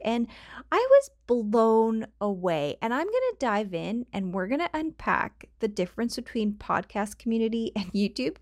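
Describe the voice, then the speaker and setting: A woman's voice: mid-range voice, no room sound, clean studio sound. A woman with a Canadian accent hosting her own podcast, talking casually and rambling off on tangents with frequent laughs, on a cheap home microphone. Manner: chatty, rambling, laughs often, goes off on tangents. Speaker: podcast host. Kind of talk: casual solo podcast chat.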